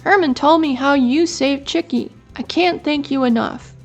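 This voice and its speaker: A woman voicing a fretful, high-voiced mother hen. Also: moderate pace, dull audio, soft background music. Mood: relieved. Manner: high, anxious cries.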